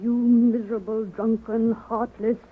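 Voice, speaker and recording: An actress playing a tough, blunt, middle-aged widowed army nurse, fiercely protective, in 1930s-40s radio drama style. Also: female voice, fairly high pitch, level tone, measured pace, slightly rough. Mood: indignant, scornful. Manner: blunt, commanding, indignant. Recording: tinny, narrow-band old radio recording.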